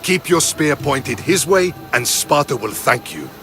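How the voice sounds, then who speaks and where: A man playing a principled, measured Spartan soldier in dramatic video game dialogue. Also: mid-range voice, lively intonation, gravelly, sharp tone, soft background music, exaggerated voice. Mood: resolute.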